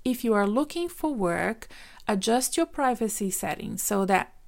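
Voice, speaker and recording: A woman career coach giving direct, practical advice in a casual monologue, with a voice she calls squeaky. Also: big pitch swings, moderate pace, creaky, darkish tone. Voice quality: says she has a squeaky voice.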